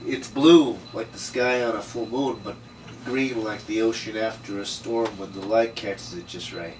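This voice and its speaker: A man reading a gruff, sceptical hardboiled detective in an amateur drama table read. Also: on the low side, steady tone, normal speed, lightly acted. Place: room, read aloud at a table. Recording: distant room mic, faint noise.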